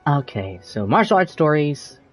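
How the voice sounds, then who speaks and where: A man's voice: a little high, sing-song, moderate pace, soft background music. A man voicing an easygoing young male traveler hero in a video game, talking casually and a little hesitantly.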